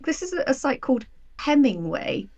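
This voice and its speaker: Creaky-voiced woman in casual conversation. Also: high voice, big pitch swings, moderate pace, darkish tone, soft background music. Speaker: guest, sci-fi writer. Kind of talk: casual interview chat.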